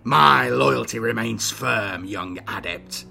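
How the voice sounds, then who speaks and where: A man voicing a scarred, corrupted older inquisitor in an audiobook, with a hissing, gravelly voice and a slow, grandiose, scornful delivery. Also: mid-range voice, sharp tone, faint music behind, full character voice. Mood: confident, dismissive.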